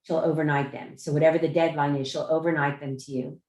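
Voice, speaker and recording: A woman chairing a meeting on a video call, informally explaining procedure in a halting way, often rephrasing her points. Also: deep voice, flat delivery, warm tone. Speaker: chair of a judicial nominating commission meeting. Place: indoor room on a Zoom conference call. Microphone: video call microphone. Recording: roomy, echoing video-call audio.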